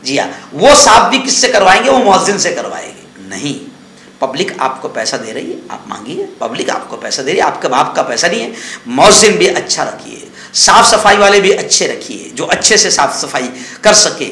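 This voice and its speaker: High, bright-voiced male cleric, echoey. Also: big pitch swings, normal speed. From India. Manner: exhortative, scolding, conversational with rhetorical questions and repetition. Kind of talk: informal sermon-like news commentary.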